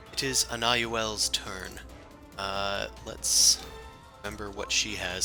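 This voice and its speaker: A man narrating as game master in a tabletop game, chatty and relaxed with many fillers. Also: fairly low pitch.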